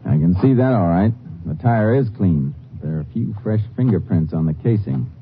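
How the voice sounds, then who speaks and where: An actor playing a calm, matter-of-fact lawman who also narrates, in a 1950s radio drama. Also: fairly low pitch, normal speed. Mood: steady. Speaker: Texas Ranger, narrator. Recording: phone-like old radio broadcast with clear hiss.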